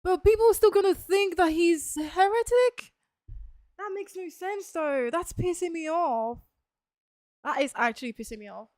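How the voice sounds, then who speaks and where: A woman's voice: very high-pitched, moderate pace, darkish tone, no echo. A woman live streaming a game, giving casual, informal, questioning reaction commentary. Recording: dry, game music faintly behind. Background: faint game music.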